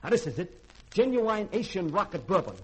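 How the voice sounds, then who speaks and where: Husky, folksy ship's cook. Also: male voice, high voice, very animated, fairly quick, exaggerated voice, low-quality recording. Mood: sly, wheedling. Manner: folksy, hesitant, blustery. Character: folksy, wheedling ship's cook who loves his bourbon. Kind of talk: 1950s radio drama acting.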